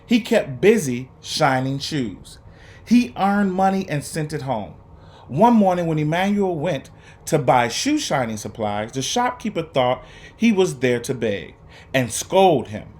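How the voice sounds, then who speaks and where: A man reading a children's picture book aloud, phrasing it dramatically and deliberately with emphatic pauses. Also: a little high, a little mellow. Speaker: storybook reader.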